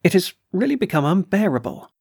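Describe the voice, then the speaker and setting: Man reading as an agitated storybook colonel, raised pitch, gravelly edge, emphatic. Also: very animated, fairly quick, a little mellow, no room sound, studio quality, full character voice. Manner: agitated, emphatic, snorting outbursts. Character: blustering excitable middle-aged male colonel.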